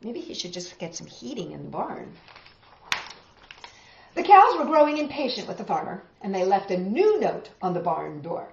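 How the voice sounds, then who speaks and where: Middle-aged woman, storytime host, theatrical read-aloud, very animated, dull roomy camera sound. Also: mid-range voice, creaky, a little hiss or hum. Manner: theatrical, deliberate, chatty asides to young listeners. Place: small living room indoors.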